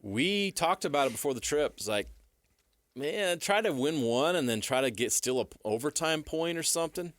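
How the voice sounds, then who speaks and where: A man's voice: fairly high pitch, expressive, normal speed, a bit bright. A man co-hosting a sports talk radio show, bantering casually and asking questions in a matter-of-fact way. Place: radio studio. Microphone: close studio mic.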